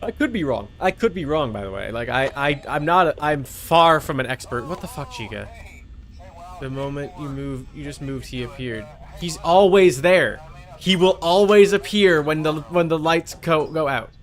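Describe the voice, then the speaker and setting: A man's voice: on the high side, lively intonation, normal speed. A man livestreaming a video game with casual commentary, muttering to himself, repeating phrases and chatting with viewers. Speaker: livestreamer playing a horror game.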